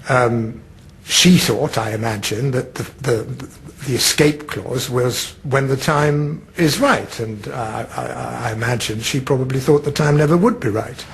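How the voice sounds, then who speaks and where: A man speaking in a measured, hesitant way in an interview, with frequent ums. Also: medium pitch, vocal fry, slight background noise, poor audio. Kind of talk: interview recollection.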